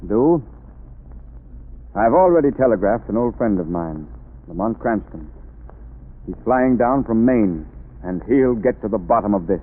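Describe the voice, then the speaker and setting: An actor playing a grave, determined senior doctor in a 1930s radio drama. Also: male voice, lowish voice, expressive, measured pace, long pauses, a little hiss or hum. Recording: tinny old radio broadcast.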